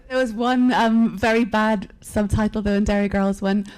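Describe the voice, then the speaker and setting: A woman's voice: mid-range voice, fairly even intonation, normal speed, a little mellow, clean tone, low-quality recording. A woman author, speaking earnestly and reflectively in a live literary panel conversation.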